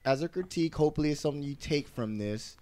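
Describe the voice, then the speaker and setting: A man's voice: fairly high pitch, fast, mellow. A man chatting casually as a panelist on a music critique show, blunt, slangy and freely swearing.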